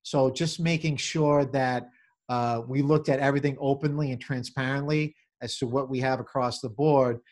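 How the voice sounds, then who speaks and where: A middle-aged man answering interview questions on a video call as a venue manager, in halting corporate phrasing with repeated words. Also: medium pitch, steady tone, darkish tone. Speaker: convention center general manager, interview guest. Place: small office, indoors. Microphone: computer or webcam on video call.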